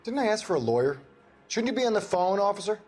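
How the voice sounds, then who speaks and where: An actor playing a defensive, bitter, hot-tempered American shopkeeper under police questioning in a video game. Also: male voice, sits high, sing-song, fast talker, darkish tone, soft background music. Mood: indignant, evasive. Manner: agitated, indignant. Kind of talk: video game cutscene acting.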